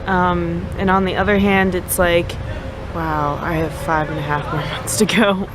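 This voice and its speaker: A woman's voice: on the low side, steady tone, measured pace. Young American woman vlogging over background noise. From United States. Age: young adult. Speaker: vlogger on a mission trip. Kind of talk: casual chat to camera.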